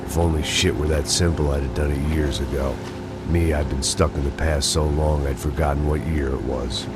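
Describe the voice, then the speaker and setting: An actor with an American accent playing a middle-aged, cynical, deadpan, self-loathing hard-boiled ex-cop in a noir video game. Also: male voice, rumbling low, normal speed. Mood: bitter, brooding. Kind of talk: noir game narration and dramatic dialogue. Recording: dramatic music behind.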